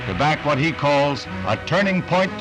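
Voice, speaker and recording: Animated 1960s American newsreel announcer, music underneath. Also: male voice, on the high side. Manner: brisk, punchy, dramatic announcer delivery. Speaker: newsreel narrator. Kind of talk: 1960s newsreel scripted narration. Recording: vintage newsreel soundtrack, loud music underneath. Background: loud music bed.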